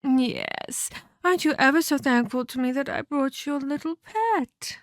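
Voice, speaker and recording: Woman playing a female vampire captor, slow deliberate speech, condescending, mock-sweet, theatrical, dry close sound, ASMR audio drama. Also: sits high, no echo. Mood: cold, taunting. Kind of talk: scripted ASMR audio roleplay.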